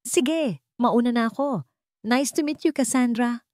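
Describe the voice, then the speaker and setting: A woman's voice: a little high, a little slow, a little mellow, no echo, clean studio sound, pitched above the performer's own voice, natural voice. A woman voicing a haughty young rich fiancée in an audio romance drama, sweet on the surface with menacing emphasis. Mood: fake sweet.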